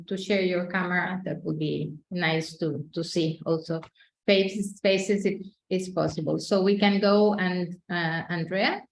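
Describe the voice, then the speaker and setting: A woman's voice: medium pitch, a little hiss or hum. A woman hosting an informal online meeting, speaking a little hesitantly over a video call. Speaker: webinar host. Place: indoor room on a video call. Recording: echoey room, muffled video-call audio.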